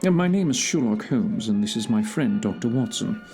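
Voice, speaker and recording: A man playing a courteous, thoughtful gentleman detective in a period mystery audio play, questioning politely and with care. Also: lowish voice, expressive, faint music behind. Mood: polite, probing. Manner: polite, measured, hesitant questioning. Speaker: actor in audio drama.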